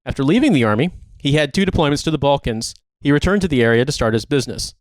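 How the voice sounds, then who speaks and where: A man's voice: mid-range voice, lively intonation, fast talker, no echo. A man co-hosting a podcast in casual banter, talking in an emphatic, incredulous, sweary way. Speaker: podcast co-host.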